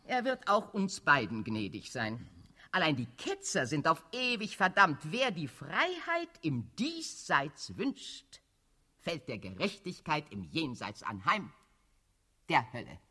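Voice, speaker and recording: A man's voice: fairly high pitch, very animated, takes it slowly, pushed and bright, breathy edge, full character voice. An actor playing a scheming old cardinal statesman in a radio drama, fanatical and coldly authoritarian, with declamatory, sardonic delivery. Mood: fanatical, solemn. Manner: declamatory, sardonic, chuckling rhetoric. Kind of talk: German-language radio drama acting.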